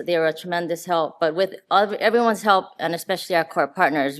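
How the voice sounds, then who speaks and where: Woman, from Hawaii, lower pitch, earnest conference presenter, mic at a podium. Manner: earnest, talks through slides, some restarts. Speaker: public health presenter. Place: conference room. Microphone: handheld or podium mic.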